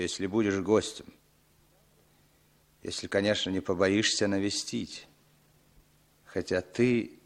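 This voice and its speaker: An actor playing an evasive, bitter long-lost older brother in a 1960s Soviet radio drama, emotional, repeating his words. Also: mid-range voice.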